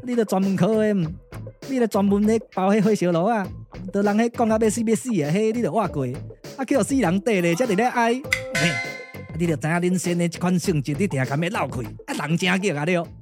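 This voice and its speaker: A man's voice: high voice, brisk, music in the background, lightly acted. Man doing a grumbling, exclamatory Taiwanese comic clown. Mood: whining, complaining. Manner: colloquial, exclamatory, repetitive complaining. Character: grumbling comic hard-luck clown, put-upon and brash. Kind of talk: comic Taiwanese puppet radio drama.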